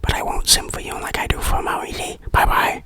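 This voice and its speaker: ASMR reviewer, constant whisper, crisp bright edge, breathy, playful, dry humour. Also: brisk. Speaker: ASMR video host and game reviewer.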